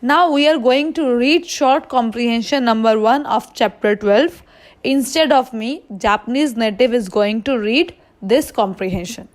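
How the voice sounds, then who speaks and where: High-pitched Indian woman teacher, unhurried. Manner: didactic, asking questions and answering them. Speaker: language teacher. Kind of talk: explanatory lesson with translations.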